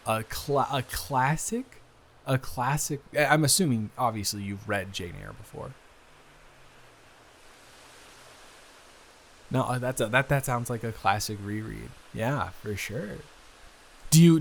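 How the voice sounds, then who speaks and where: A man's voice: medium pitch, gravelly. Awkward, rambling, bookish young guy, acted by a man. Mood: curious, friendly. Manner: halting, rambling, self-interrupting with repeated restarts. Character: friendly, bookish, awkward best friend's brother, young man. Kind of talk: improvised roleplay audio, casual chat to the listener.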